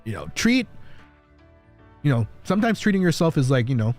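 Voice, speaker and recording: A man streaming and chatting casually with his viewers, rambling, reassuring and quick to laugh. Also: a little high, sing-song, darkish tone, soft background music.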